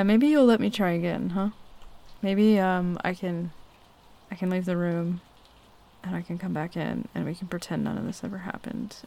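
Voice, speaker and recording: A woman playing a shy, smitten young tomboy roommate in an intimate ASMR roleplay, halting and flustered as she talks. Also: lowish voice, gravelly, a little mellow, exaggerated voice. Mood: sheepish, hopeful. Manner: halting, hesitant, flustered with nervous chuckles. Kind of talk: ASMR roleplay, intimate one-sided dialogue. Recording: faint rain noise behind close voice. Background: rain falling.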